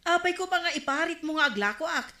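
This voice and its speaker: Animated, protesting village storekeeper. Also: female voice, extremely high pitch, fast, roomy sound, exaggerated voice. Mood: defensive. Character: defensive female village liquor seller. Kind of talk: Ilocano radio comedy drama acting.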